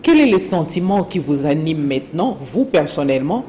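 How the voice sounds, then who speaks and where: Higher-voiced Congolese male interviewer. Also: sing-song, moderate pace, mellow, slight background noise. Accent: Congolese French. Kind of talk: political interview questioning.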